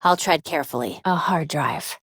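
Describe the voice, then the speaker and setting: An actress playing a young, determined vigilante superheroine in a video game, with an American accent, caring and dryly witty in an earnest, conversational way. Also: female voice, deep voice, moderate pace, fairly bright tone, no echo, clean studio sound. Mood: caring, businesslike. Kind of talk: scripted game voice lines.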